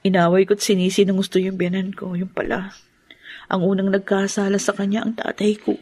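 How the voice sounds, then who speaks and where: An actress playing a worried, guilt-ridden young wife in a radio drama, subdued and plaintive. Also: female voice, medium pitch, completely flat delivery, fairly quick, darkish tone, dry recording, lightly acted. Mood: remorseful.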